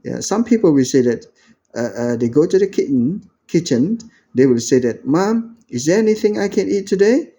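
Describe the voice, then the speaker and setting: A man's voice: on the high side, lively intonation, moderate pace, mellow, slightly rough. A man from Indonesia giving an informal lecture, rambling with many fillers and "you know", and acting out quoted lines in a raised voice. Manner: acts out quoted speech in a raised voice.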